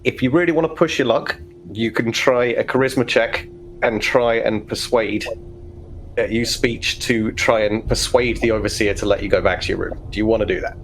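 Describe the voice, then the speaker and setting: A man's voice: fairly low pitch, moderate pace, low-quality recording. A man running a tabletop roleplaying game, narrating and asking players questions in a casual conversational way. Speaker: game master.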